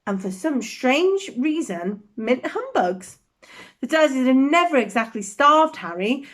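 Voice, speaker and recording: A woman storyteller reads to children at bedtime. Her voice is high and very lively in pitch, with expressive, dramatic emphasis on the telling details.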